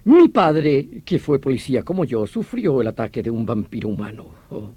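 An actor in a radio drama playing a vehement veteran detective, explaining things in an emphatic, theatrical manner. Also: male voice, mid-range voice, big pitch swings, normal speed, mellow, slightly rough. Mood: solemn, vehement. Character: vehement veteran police detective obsessed with hunting a vampire villain.

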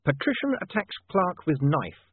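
A man's voice: a little high, very animated, moderate pace, breathy edge, no echo, pitched above the performer's own voice. A man voicing an urgent, harried newspaperman in an audiobook, emphatic and sprinkled with hesitant ums. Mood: harried dictation. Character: harried, urgent young male newspaperman dictating headlines. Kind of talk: audiobook character voice. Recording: band-limited, phone-like sound.

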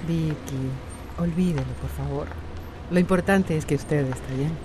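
A woman's voice: deep voice, normal speed, background noise, lightly acted. An actress playing an independent woman strained by divorce in a Latin American Spanish radio soap opera. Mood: friendly, helpful. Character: Amanda, independent, distressed woman going through divorce.

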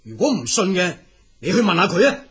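A man's voice: high-pitched, big pitch swings, a little fast, a bit bright. A man with a Cantonese accent playing an exiled dragon spirit in an audio drama, earnest, humble and principled, with hesitant, deferential yet firm delivery.

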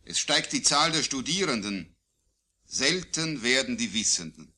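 A man reciting his own poetry solemnly, in a declamatory, deliberate way with phrases falling at the line endings. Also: mid-range voice, animated, pushed and bright. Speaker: poet. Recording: digitized vintage vinyl record.

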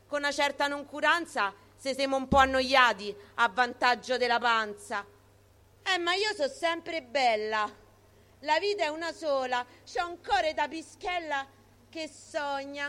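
A woman's voice: high voice, unhurried, fairly bright tone. A woman with a Roman accent giving a theatrical recitation of dialect poetry. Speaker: poetry reader.

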